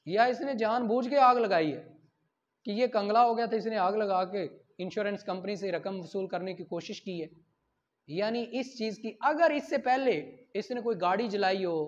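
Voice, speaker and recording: A man with a Pakistani accent lecturing as a law teacher, explaining through examples, asking and answering his own questions and mixing in English terms. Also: high voice. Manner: code-switches into English terms. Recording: dull lo-fi lecture audio.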